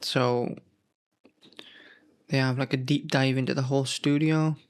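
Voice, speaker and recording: Unwell man with a gravelly, fry-laden voice, mid-pitched, leafing through old magazines and rambling to camera with plenty of self-corrections. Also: steady tone, unhurried. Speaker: gaming channel host. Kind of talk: casual nostalgic commentary.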